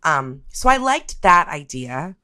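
A woman's voice: low-pitched, sing-song, takes it slowly, sharp tone, no echo, studio quality. A woman hosting a podcast, talking through a topic. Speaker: podcast host.

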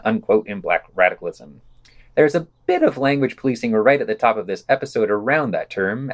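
Lively man reading podcast reviews. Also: medium pitch. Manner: wry, opinionated. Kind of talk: scripted podcast narration.

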